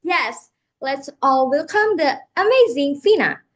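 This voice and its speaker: A young woman co-hosts a casual chat podcast. Her voice is fairly high and her delivery is unhurried, and she leads the questions with friendly energy and frequent "uh" fillers. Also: animated. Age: young adult. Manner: upbeat but halting, many uhs. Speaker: co-host.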